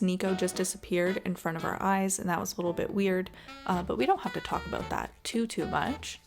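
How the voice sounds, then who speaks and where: Woman chatting casually over background music. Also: mid-range voice. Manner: friendly, addresses viewers directly. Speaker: gaming video host. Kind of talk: casual chatty game commentary.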